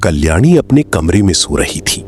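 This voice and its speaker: A man narrating a scripted horror story dramatically, with suspenseful pauses. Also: deep voice, very animated, breathy edge, faint music behind.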